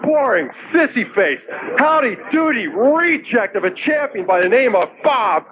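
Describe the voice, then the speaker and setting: A man's voice: extremely high pitch, big pitch swings, unhurried. An American man, a fan at an open microphone, ranting in a theatrical, mock-pompous way. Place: public venue, live radio microphone. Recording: tinny 1980s radio sound, crowd behind.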